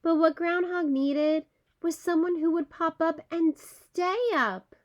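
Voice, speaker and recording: A woman narrating a children's story aloud in an expressive storytelling style. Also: extremely high pitch, slow, highs cut off.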